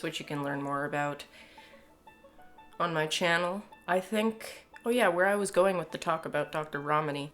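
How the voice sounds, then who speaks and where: A woman's voice: low voice, a bit bright. A young adult woman with a Canadian accent giving casual, emphatic opinion commentary to camera in a sardonic, exasperated way. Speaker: video commentator. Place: small home room, indoors.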